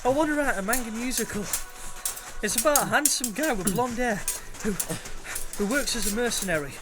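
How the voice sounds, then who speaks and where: An actor playing a flippant, irreverent young mercenary chancer, bantering in an audio drama. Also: male voice, very high-pitched, brisk, over music. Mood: eager, chatty.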